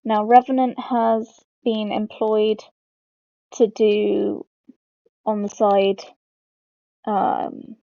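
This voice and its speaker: A woman's voice: mid-range voice, level tone, very slow, vocal fry, pauses often, warm tone, dry recording. A woman hosting a game lore video, explaining it informally as she goes. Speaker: host of a game lore channel. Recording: dull lo-fi close mic.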